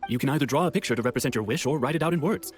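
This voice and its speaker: Man playing festival vendor, medium pitch, quick, courteous, matter-of-fact, video game. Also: lightly acted. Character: courteous, helpful male festival plaque vendor.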